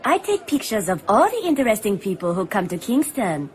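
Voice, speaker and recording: A woman's voice: mid-range voice, sing-song, brisk, a little hiss or hum, natural voice. A woman acting in a radio drama as a pushy but polite young newspaper photographer. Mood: coy, probing.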